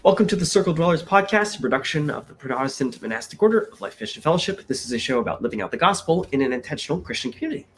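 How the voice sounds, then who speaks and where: A man's voice: fairly high pitch, very fast, hardly any pauses. Nasal, congested male podcast host. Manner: upbeat, lively, laughs often. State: congested from a cold. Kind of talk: casual podcast hosting.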